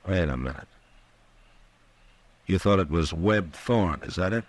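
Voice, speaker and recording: Fearless frontier marshal, American, deep low voice, terse firm delivery, old-time radio western. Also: male voice, animated, unhurried, no echo. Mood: probing. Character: stern, steady, fearless Old West U.S. marshal.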